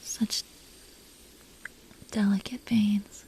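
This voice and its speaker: A woman playing a possessive, proud but secretly tender dragon queen in an intimate roleplay audio drama, breathy and teasing. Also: medium pitch, level tone, drawn-out words, mellow, a little hiss or hum, poor audio. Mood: seductive whisper. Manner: breathy, teasing, haughty, lingering delivery.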